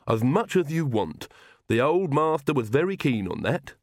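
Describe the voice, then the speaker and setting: A man voicing a loyal, proud, gloomy monstrous castle manservant in an audiobook, with slow, deliberate, deferential talk. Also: medium pitch, sing-song, darkish tone, dry recording, studio quality, pitched above the performer's own voice. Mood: fond, nostalgic.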